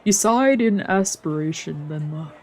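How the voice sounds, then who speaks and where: A woman's voice: deep voice, animated, measured pace, a little mellow, faint music behind. A woman, a streamer narrating a visual novel in a let's play. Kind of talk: let's play, narrating and voicing translated lines.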